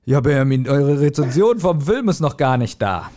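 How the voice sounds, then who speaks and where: A man's voice: medium pitch, animated, normal speed, noisy background. A man, chatting casually as a podcast co-host in a colloquial, hesitant and reflective way. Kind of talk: casual chat.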